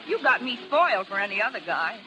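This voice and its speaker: A lonesome, needy American showgirl, speaking in slangy, pleading lines. It is 1930s radio drama acting on a clearly noisy old recording. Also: on the high side, very animated, fast.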